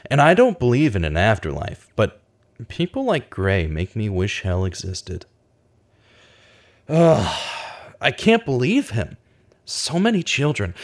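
An actor playing a brooding investigator in a radio drama, earnest and emphatic as he talks and narrates. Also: mid-range voice. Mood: bitter anger. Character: brooding, angry male investigator hunting an abuser. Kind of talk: radio drama dialogue and first-person narration.

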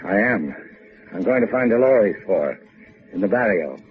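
An actor playing a tough, world-weary boat owner in a 1950s radio drama, talking in a clipped, hard-boiled way. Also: male voice, lowish voice, animated, moderate pace, soft background music, lightly acted. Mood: brisk, terse. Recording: narrow-band old 1950s radio recording.

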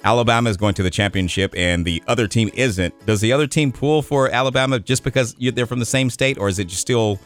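A man's voice: medium pitch, fast talker, hardly any pauses, faint music behind. A middle-aged man, co-hosting a radio morning show with jokey banter and easy laughs.

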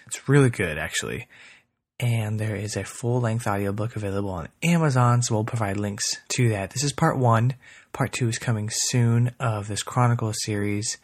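A man hosting a podcast, talking in an informal, conversational way as he introduces an episode. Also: fairly low pitch. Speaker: podcast host. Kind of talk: casual spoken podcast introduction.

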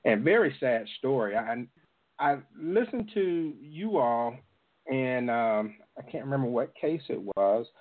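A man hosting a conversational interview, speaking haltingly and pausing mid-thought. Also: mid-range voice, lively intonation. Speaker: interviewer, host. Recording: thin, phone-like sound.

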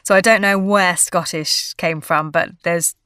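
A woman's voice: fairly low pitch, fairly even intonation, a little slow, bright, forceful tone, smooth, no echo, clean studio sound. An actress from Australia, chatting in an interview, laughing often and restarting her sentences. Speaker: actress and voiceover artist, interview guest. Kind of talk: casual interview conversation.

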